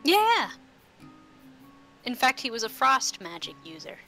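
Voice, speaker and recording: A woman's voice: fairly high pitch, wildly swooping pitch, unhurried, creaky, sharp tone. A woman acting as game master, narrating a tabletop roleplay session in a casual, conversational way. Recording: faint music behind. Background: faint music.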